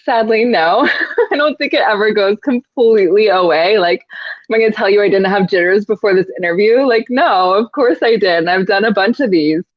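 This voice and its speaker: A woman's voice: medium pitch, a little fast, no room sound. Chatty woman on a dull, dry line. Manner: chatty, laughs often, lots of "like". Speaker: yoga teacher, podcast guest. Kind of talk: casual interview chat.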